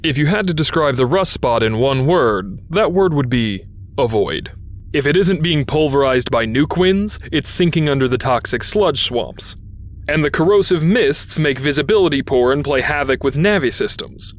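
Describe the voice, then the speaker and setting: A man's voice: a little high, expressive, moderate pace, close to an everyday voice. A man playing a wisecracking, impatient robot negotiator, sardonic and emphatic. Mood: emphatic, wry.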